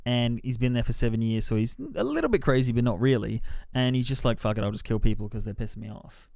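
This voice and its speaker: A man with a mid-pitched voice talks through a film review on a podcast. His delivery is informal and rambling, with slangy wording, and the sound is dry with very little room. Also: expressive, fast, vocal fry, darkish tone, dry recording. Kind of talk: casual review chat.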